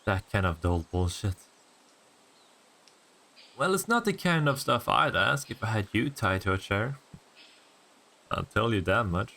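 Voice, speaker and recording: A man's voice: fairly low pitch, big pitch swings, measured pace, vocal fry, pauses often, bright, forceful tone, heavily acted. A man voicing a cocky, flirtatious vampire in a scripted roleplay audio drama, full of sardonic, teasing banter. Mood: mocking, flirtatious.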